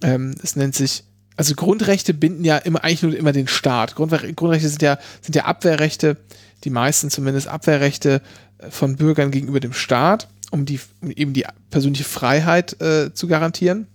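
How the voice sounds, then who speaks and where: A man's voice: a little high, fairly even intonation, normal speed, dry recording. A man chatting casually, explaining legal points with many fillers and restarts. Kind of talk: casual chat explaining law.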